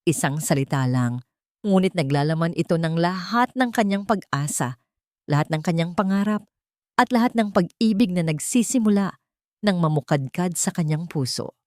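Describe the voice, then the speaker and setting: A woman giving an expressive, sentimental scripted narration as the storyteller of a romantic drama. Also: on the low side, moderate pace, dry recording, clean studio sound.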